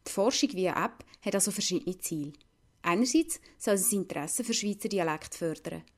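A woman's voice: mid-range voice, lively intonation, fairly quick. A woman with a Lucerne Swiss German accent, narrating a scripted radio report as a reporter.

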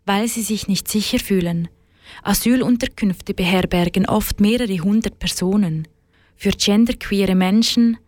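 A woman reporter reading a scripted radio news segment in an even tone. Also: mid-range voice, no room sound.

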